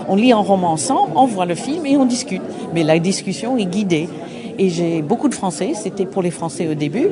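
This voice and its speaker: Woman, halting, crowd chatter behind. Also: lowish voice. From United States. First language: English. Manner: halting, searching for words, many restarts. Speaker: association representative. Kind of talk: interview at an open day. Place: busy open-day event.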